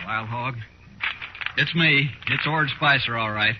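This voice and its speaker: A dull, slightly noisy vintage radio recording carries a male actor as a shifty frontier smuggler. He is American and folksy, speaking at a middling pitch. He blusters and wheedles warily, in the manner of a 1950s Western drama. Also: unhurried, close to an everyday voice. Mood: ingratiating, nervous. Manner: folksy, drawling frontier talk.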